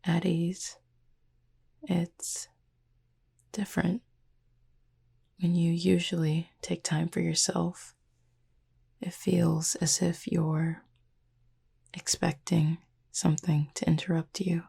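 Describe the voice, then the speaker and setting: A woman voicing a calm, proud but caring cupid roommate in a whispered sleep-aid roleplay, speaking in a breathy, husky half-whisper with measured, formal, gently teasing phrasing. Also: on the low side, exaggerated voice. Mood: tender, reflective.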